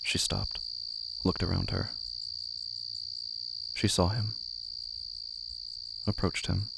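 A man reading scripted literary horror in a solemn, poetic and deliberate way. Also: sits low, sing-song, creaky, heavy background noise. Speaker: narrator of a horror fiction podcast. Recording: prominent music behind the voice. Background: music.

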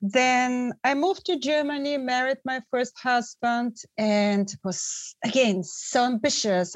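A woman's voice: fairly high pitch, no echo. A middle-aged woman from Bulgaria, a podcast guest telling her story in a reflective, earnest way and often restarting her words. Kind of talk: conversational storytelling.

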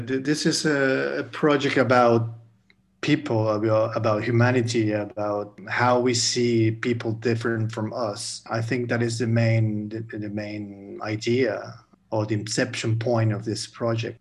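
Gravelly, halting man. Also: medium pitch, little pitch movement. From Venezuela. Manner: halting, repeats words and searches for phrasing. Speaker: guest artist. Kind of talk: podcast interview answers.

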